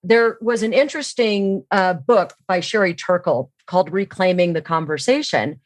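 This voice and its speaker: A woman's voice: lowish voice, moderate pace, dry recording. A middle-aged woman explaining her views as an expert podcast guest, in an assured, emphatic way.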